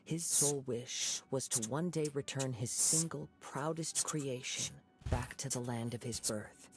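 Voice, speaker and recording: A young man voicing a gentle wandering samurai in a video game, with a calm, composed and reflective way of talking. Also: mid-range voice, measured pace, natural voice. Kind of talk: game voice acting. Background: game music.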